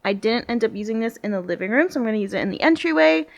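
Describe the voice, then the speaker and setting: A woman's voice: on the high side, lively intonation, rapid-fire, creaky. A woman giving a casual, chatty voice-over as a home decor vlogger, talking directly and informally to her viewers.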